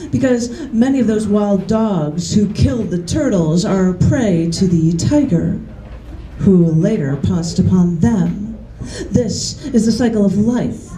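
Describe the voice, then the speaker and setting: A woman poet giving a live reading in a bookstore, reading in a measured, deliberate way with chatty, laughing asides between poems. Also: medium pitch, mellow, slight background noise. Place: large bookstore, indoors. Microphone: camera mic. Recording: echoey room from the camera.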